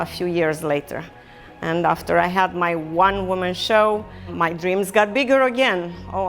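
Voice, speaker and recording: A middle-aged woman from Romania, an artist telling her life story in a reflective, plain-spoken way. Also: mid-range voice. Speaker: artist and gallery owner. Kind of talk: personal storytelling in a documentary interview.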